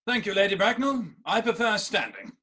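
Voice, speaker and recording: A man plays an earnest young gentleman in a period stage comedy. His voice is fairly high and bright, with a polite, slightly hesitant, deferential way of answering. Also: very animated, moderate pace, breathy edge, full character voice. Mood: polite, nervous. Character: earnest, polite young Victorian gentleman suitor, aged twenty-nine. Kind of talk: theatrical comedy of manners reading. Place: virtual performance from home in isolation.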